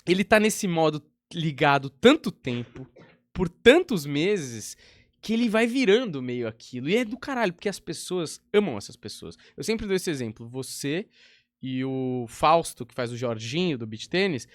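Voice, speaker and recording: A man hosting a podcast interview, talking in a quick, enthusiastic way with casual swearing. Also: fairly high pitch, very animated, no room sound. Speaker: podcast host.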